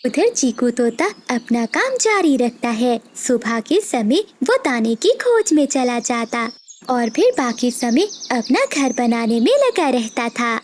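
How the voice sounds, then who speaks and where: A woman narrates a children's tale in a high voice with very lively intonation. It is scripted storytelling, recorded with faint noise behind it. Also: slow pace.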